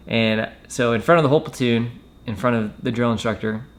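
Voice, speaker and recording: A man telling a personal story in a casual, matter-of-fact way with hesitant pauses. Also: mid-range voice. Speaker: storyteller, former Marine recruit.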